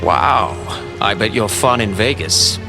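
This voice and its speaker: Cocky, low-voiced wisecracking mercenary. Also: male voice, lively intonation, slow pace, vocal fry, music in the background, exaggerated voice. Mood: sarcastic. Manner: sarcastic, glib. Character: cocky wisecracking male mercenary swordsman, crude and smug. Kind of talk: video game cutscene voice acting.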